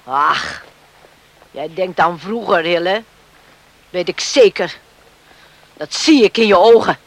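A woman's voice: fairly low pitch, animated, slow pace, gravelly, dry recording, exaggerated voice. An actress playing a middle-aged, protective mother who scolds plainly and emphatically in a Dutch radio drama. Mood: angry, scornful. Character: indignant, protective island mother confronting her son's would-be father-in-law.

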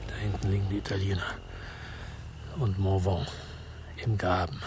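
An actor playing a weary, hard-boiled investigator in a radio drama, with terse, laconic delivery and a husky voice. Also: male voice, low-pitched, flat delivery, moderate pace, noisy background, lightly acted. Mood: tense, hushed. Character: weary, hard-boiled male investigator telling his story. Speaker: first-person narrator and lead actor. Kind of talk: German radio drama narration and dialogue.

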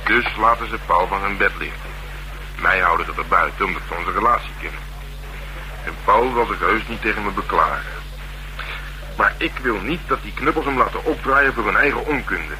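An actor playing a gruff, streetwise police detective in a Dutch radio drama, talking in a dry, wry and slangy way. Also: male voice, fairly low pitch, lively intonation, moderate pace, lightly acted. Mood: insistent. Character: male police detective, gruff, streetwise, worldly, asking a favour. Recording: noisy radio drama recording.

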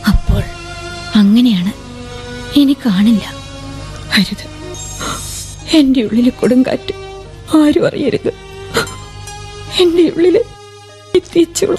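An actress playing a young woman torn between her lover and the six children who depend on her, in a radio drama. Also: on the high side. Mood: grieving. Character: young woman Shari, devoted eldest sister torn by love. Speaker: lead character. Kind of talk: 1970s radio drama acting. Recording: old radio broadcast with faint music.

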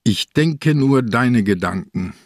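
An actor playing an ailing old police inspector in a German radio crime drama, calm, probing and relentless in a deliberate way. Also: male voice, medium pitch, dry recording, clean studio sound. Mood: grave, relentless. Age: older.

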